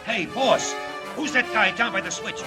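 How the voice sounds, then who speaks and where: Henchman male actor: rough, jumpy, high-pitched, slangy, 1940s radio gangster over prominent music. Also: moderate pace, close to an everyday voice. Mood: alarmed. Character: nervous, rough male henchman of a crime boss. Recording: old radio recording with music behind.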